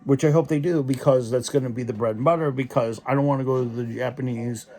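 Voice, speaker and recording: A man's voice: mid-range voice, steady tone, fast talker, dark tone. A young man in a casual opinion rant, rambling with many restarts and repeated fillers. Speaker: vlogger.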